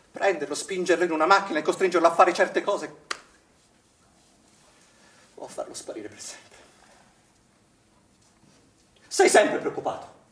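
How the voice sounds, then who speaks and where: An actor playing an anxious, accusing father who is protective of his children, in an insistent stage-drama style. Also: sits high. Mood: anxious, brooding. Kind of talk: stage theatre acting. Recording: echoey room, distant mic, background noise.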